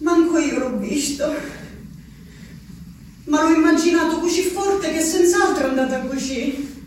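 Abruzzese asylum inmate, high and echoey. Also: female voice, a little slow, a little mellow. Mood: bitter. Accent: Abruzzo Italian. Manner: fervent, rambling, repetitive. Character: woman shut in an asylum, obsessively counting. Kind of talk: stage monologue. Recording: echoey stage from far off, noisy.

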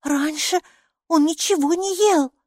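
Excitable, high-pitched little storybook girl. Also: unhurried, no room sound, studio quality. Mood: earnest. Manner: emphatic, excitable, matter-of-fact. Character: lively, stubborn, inquisitive little girl. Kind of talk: children's audiobook character voice.

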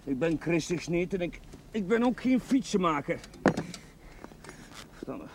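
Young earnest priest, fairly high male voice, quick temper, TV drama, noisy old outdoor soundtrack. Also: big pitch swings. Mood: irritated. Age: young adult. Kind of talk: television drama acting.

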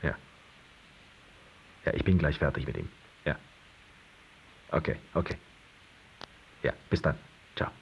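A laconic man in a German radio drama. His voice is extremely low and creaky, muttering slowly. The sound is dull and hissy. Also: lively intonation, full character voice. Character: laconic man reporting on a difficult case.

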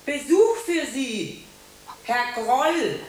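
An actress playing a woman who announces visitors with drawn-out calls in a German radio play. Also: high-pitched, expressive, darkish tone, full character voice. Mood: calling out. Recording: echoey, heard from a distance.

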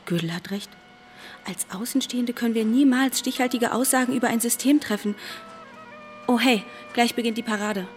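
A woman's voice: on the high side, moderate pace, soft background music, lightly acted. Reflective young granddaughter played by a woman, radio drama. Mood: thoughtful. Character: pensive granddaughter of a wartime codebreaking veteran. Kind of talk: radio drama acting and narration.

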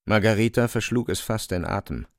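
A man narrating a literary radio play in a measured, deliberate storytelling manner. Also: lowish voice, no room sound, studio quality.

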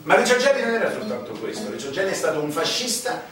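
A sardonic insider acted by a man delivers theatrical narration in a slightly high voice. He is emphatic and ironic, leaning on rhetorical questions. The recording is distant and very reverberant, with clear music underneath. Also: big pitch swings, fast talker. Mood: sardonic, mock-admiring. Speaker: stage actor, narrator. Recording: echoey stage, distant mic, music behind.

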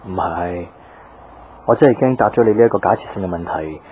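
A man's voice: low-pitched, lively intonation, brisk, a little mellow, a little hiss or hum. An actor playing a weary, guarded young man in a Cantonese radio drama, speaking in a subdued, reflective way. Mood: earnest, guarded.

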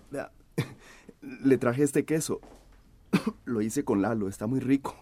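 A man's voice: medium pitch, slow pace, warm tone, a little hiss or hum, close to an everyday voice. A man playing a mild, courteous countryman with a cough in a radio drama. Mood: polite.

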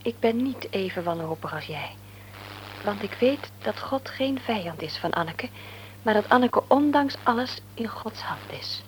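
A woman's voice: medium pitch, expressive, a little slow, a little hiss or hum, natural voice, poor audio. An actress playing a middle-aged devout mother in a radio play, pleading and often dropping to a whisper. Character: devout mother, gentle but firm in faith, middle-aged woman. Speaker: mother and wife.

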